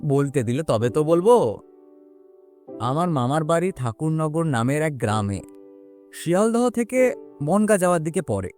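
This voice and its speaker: A man playing a young man who recounts his own eerie experience in an expressive, suspenseful audio horror drama. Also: a little high. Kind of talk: dramatic first-person audio horror storytelling.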